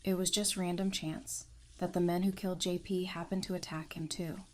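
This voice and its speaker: A woman's voice: on the low side, little pitch movement, normal speed, darkish tone, slight background noise, close to an everyday voice. A woman playing a composed, candid psychiatrist in an audio drama, speaking thoughtfully. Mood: grieving, candid.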